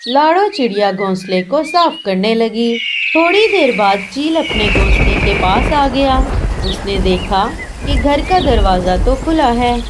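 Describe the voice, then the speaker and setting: Higher-pitched woman narrating a children's story. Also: expressive, a little slow, heavy background noise.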